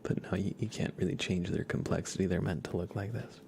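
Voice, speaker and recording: A man livestreaming a game in ASMR style, softly whispering in a relaxed, casual way as he thinks aloud and chats with viewers. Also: sits low, fairly quick, a little hiss or hum. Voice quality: soft, breathy whisper.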